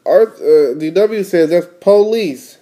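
Mellow dad reading a storybook slowly. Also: male voice, high-pitched, expressive. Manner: explanatory, prompting with questions. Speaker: father reading to his young daughter. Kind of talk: storybook read-aloud with casual explanations.

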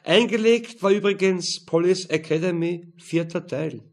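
A man voicing a calm, fat police detective in an audiobook, explaining evidence matter-of-factly. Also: high voice, measured pace, voice pushed up.

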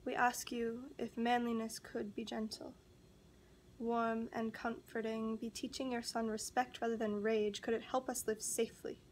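A young woman performing a slam poem in an earnest, pleading way, with measured, deliberate phrasing. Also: on the high side, flat delivery, slight background noise. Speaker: spoken word poet. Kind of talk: slam poetry recitation.

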